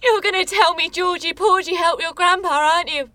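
Prickly young woman played by an actress, high-voiced. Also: a little fast, pushed and bright, dry recording. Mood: angry, bitter. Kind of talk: naturalistic radio play acting.

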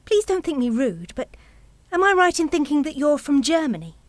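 Courteous, guarded young Parisian mother, played by an actress, high voice, close dry radio drama sound. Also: very animated, moderate pace, dry recording. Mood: tentative, guarded. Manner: courteous, tentative. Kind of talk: radio play acting.

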